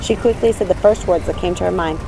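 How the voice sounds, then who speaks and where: Female audiobook narrator: expressive, suspenseful dramatic reading, bright tone, soft music underneath. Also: lowish voice, fast talker, gravelly, background noise. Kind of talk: dramatic scripted storybook narration.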